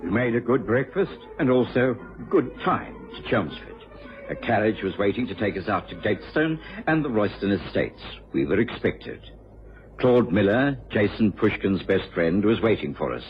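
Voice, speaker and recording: Muffled radio audio with faint music behind it. a loyal gentleman narrator, a man with a low, animated voice, mild and gently concerned as he tells the tale. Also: normal speed. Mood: reflective narration. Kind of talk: radio drama narration and dialogue. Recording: muffled old radio drama recording.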